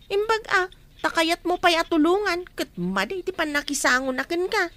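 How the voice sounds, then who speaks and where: A woman's voice: extremely high pitch, lively intonation, normal speed, a bit bright, no echo. An actress playing a gossiping village woman in a radio drama, confiding what she heard and saw.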